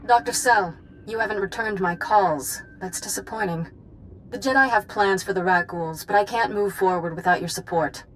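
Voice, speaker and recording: A woman voicing a coolly threatening Jedi in a video game, controlled and quietly menacing. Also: mid-range voice, moderate pace, slight background noise, lightly acted, poor audio. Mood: cool menace.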